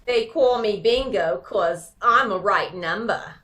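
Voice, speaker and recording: A woman's voice: mid-range voice, a bit bright. A woman playing a tough, slangy, defiant young gun moll in old-time radio detective style. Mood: brassy. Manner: slangy, defiant, slow drawn-out delivery.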